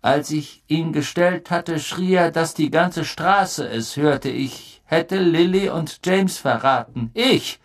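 Man acting an intense, haunted fugitive, gravelly. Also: on the high side, slow, few pauses, pitched above the performer's own voice. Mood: bitter. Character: haunted, intense male escaped prisoner.

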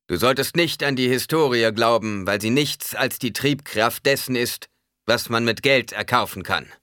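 A man voicing a fiery old desert preacher in an audiobook, proclaiming solemn warnings in a booming, declamatory way. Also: bright, forceful tone, dry recording, clean studio sound. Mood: solemn, commanding.

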